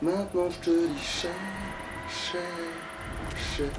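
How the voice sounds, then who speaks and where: A man's voice: fairly high pitch, slow pace, over music. A man chatting casually as he plays a video game, grumbling and swearing at it. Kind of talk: casual gaming chat with commentary on own play. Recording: distant mic with background noise.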